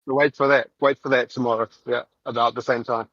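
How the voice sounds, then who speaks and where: A man from New Zealand with a New Zealand accent, chatting casually on a voice call while walking outdoors, halting and full of fillers. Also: mid-range voice, level tone, fairly bright tone, dry recording, highs cut off. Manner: rambling, halting, many fillers.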